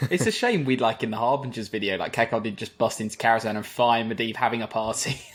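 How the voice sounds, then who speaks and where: A man's voice: mid-range voice, fast. A man co-hosting a podcast, discussing games casually as a keen fan who feels his way through his points. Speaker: podcast co-host.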